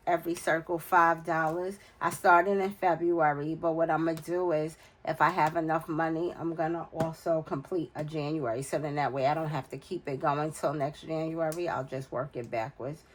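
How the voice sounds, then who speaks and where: A woman's voice: low-pitched, fairly even intonation, normal speed, a little hiss or hum. A woman in her forties with a cold and a nasal voice, talking casually and unhurriedly as she fills in budget challenges, counting aloud under her breath. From United States. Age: 40s. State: congested from a cold. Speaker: budgeting vlogger. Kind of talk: casual talk while filling budget challenges.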